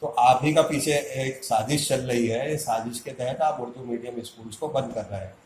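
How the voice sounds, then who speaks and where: A man's voice: mid-range voice, normal speed, darkish tone, roomy sound, slight background noise, low-quality recording. A man makes an indignant public statement as a community activist, arguing hard and firing off rhetorical questions.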